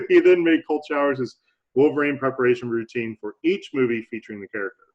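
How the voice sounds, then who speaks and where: A man co-hosting a casual movie podcast at an ordinary pitch. He talks in a laid-back, hesitant way, often restarting his sentences, and the recording sounds a little dull and lo-fi. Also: fairly quick, darkish tone. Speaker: podcast co-host. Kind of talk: casual movie-review chat.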